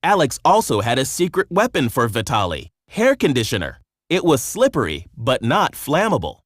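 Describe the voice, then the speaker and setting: A man narrating a scripted children's storybook in an expressive, measured storytelling manner. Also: medium pitch, no room sound, studio quality.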